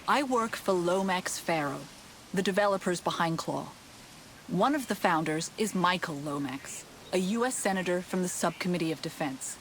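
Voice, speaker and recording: A woman's voice: on the low side, a little hiss or hum, natural voice. A woman playing a wary, serious tech company insider in a video game, explaining things earnestly. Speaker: video game character, informant. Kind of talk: video game cutscene dialogue.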